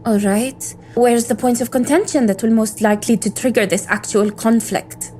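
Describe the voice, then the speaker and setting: A woman as an embittered, defiant agent, fairly high-voiced. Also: steady tone, normal speed, darkish tone, slight background noise, close to an everyday voice. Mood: sardonic, tense. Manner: world-weary, intense, sardonic. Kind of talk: scripted audio drama monologue and dialogue.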